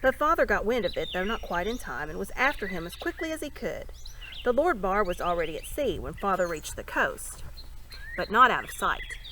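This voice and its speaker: A woman's voice: medium pitch, bright, forceful tone. A woman voicing an eager, chatty young boy prince from a storybook, with breezy schoolboy slang. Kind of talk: storybook character voice. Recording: birdsong and ambient noise behind.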